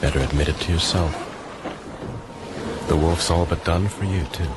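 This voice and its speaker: A man's voice: low voice, fairly even intonation, normal speed, background noise, lightly acted. An actor playing a bleak, brooding man at sea, speaking with bitter fatalism in a radio drama.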